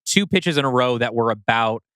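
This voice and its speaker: A man co-hosting a podcast, casually critiquing a story and acting out imagined quotes in an animated way. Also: medium pitch, normal speed, clean tone, no echo, studio quality.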